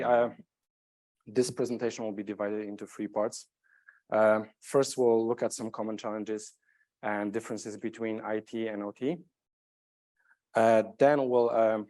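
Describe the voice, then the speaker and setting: Polish guy giving a tech talk, kinda low voice, lots of uhs and restarts. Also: darkish tone. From Poland. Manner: halting, many fillers and repeated words. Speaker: conference speaker. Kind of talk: technical conference talk.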